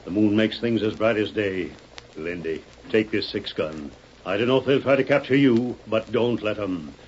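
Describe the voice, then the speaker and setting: An actor playing a grim, resolute rancher in a 1940s-style radio western. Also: male voice, lowish voice, normal speed, a little mellow, lightly acted. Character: grim, resolute rancher defending his family. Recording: muffled old radio, light noise.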